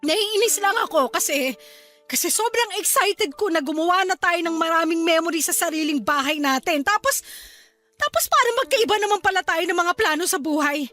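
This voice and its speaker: A woman's voice: extremely high pitch, expressive, moderate pace, fairly bright tone, soft background music. A woman playing a resentful, sulky young wife and mother in a Filipino radio drama, speaking tersely and pleadingly with a Filipino accent. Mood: tearful, hurt.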